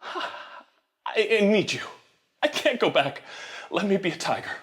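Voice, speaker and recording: A man playing a deluded, pleading Hollywood star who thinks he is a tiger, halting and stammering, in an audio drama. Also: high voice, big pitch swings, a bit bright, full character voice. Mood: desperate pleading.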